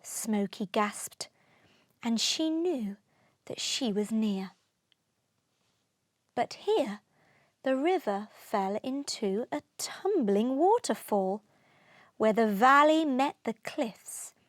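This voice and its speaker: A woman narrating a children's storybook aloud, expressively, with deliberate rhythmic delivery of rhyming verse. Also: on the high side, expressive, no echo, clean studio sound.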